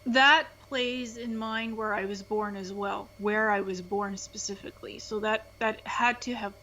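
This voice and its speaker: A woman's voice: mid-range voice, fairly even intonation, a little slow, pushed and bright. A woman from Ukraine speaking as a guest in an interview, talking earnestly and restarting her phrases. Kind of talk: conversational interview testimony. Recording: slightly dull, lo-fi sound.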